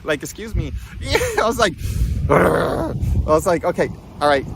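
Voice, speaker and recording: A man giving an informal spiritual talk with personal stories, in an animated, conversational manner. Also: a little high, normal speed, creaky, a crowd in the background. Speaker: spiritual healing coach and vlogger.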